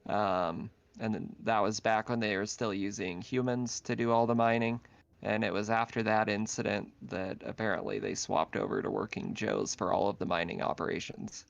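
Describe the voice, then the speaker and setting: A man's voice: fairly low pitch, little pitch movement, moderate pace, gravelly, no echo. A man casually narrating an online tabletop roleplaying game as game master. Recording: dull lo-fi online voice chat.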